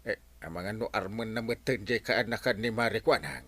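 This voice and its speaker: A man playing an excitable, suspicious village husband in a comedy radio drama, with an Ilocano accent. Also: on the low side, a little fast, dry recording. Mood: doubtful.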